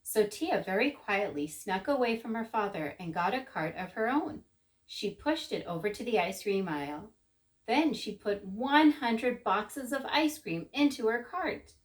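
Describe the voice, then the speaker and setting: Slow, animated woman storyteller. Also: mid-range voice, low-quality recording. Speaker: children's storyteller. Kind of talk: expressive children's story narration.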